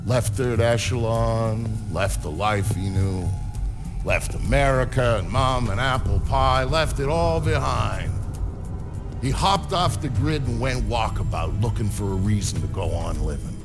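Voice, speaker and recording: A man's voice: medium pitch, measured pace, close to an everyday voice. An actor voicing a world-weary male narrator in a game, telling the story bluntly and bitterly. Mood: grim. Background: music.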